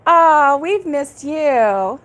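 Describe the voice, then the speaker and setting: A woman's voice: high-pitched, lively intonation, drawn-out words. A woman hosting a casual crochet livestream from a back porch, chatting with a friend and greeting viewers by name, laughing often. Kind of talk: casual live chat with viewers. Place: back porch, indoors, air conditioned.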